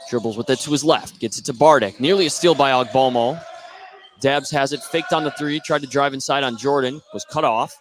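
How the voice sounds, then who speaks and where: A man's voice: medium pitch, a little fast. Male basketball play-by-play announcer, noisy gym. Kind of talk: live basketball radio commentary. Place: indoor basketball gym, live game. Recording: noisy live gym broadcast.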